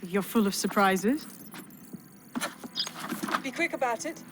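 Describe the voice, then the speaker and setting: Commanding, highish-voiced woman. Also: animated, takes it slowly. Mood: tense, curt. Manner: commanding, clipped, dry. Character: tough, curt woman resistance leader. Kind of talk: video game cutscene acting. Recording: very noisy game action behind.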